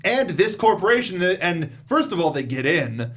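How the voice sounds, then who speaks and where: A man's voice: high voice, sing-song, normal speed, a bit bright. A man hosting a casual panel discussion reviewing movies. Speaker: host.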